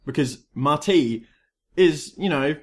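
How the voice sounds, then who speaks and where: A man's voice: fairly high pitch, expressive, takes it slowly. A man co-hosting a podcast, casually retelling a plot step by step and adding dry asides. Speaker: podcast co-host. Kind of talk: casual chat recapping a cartoon.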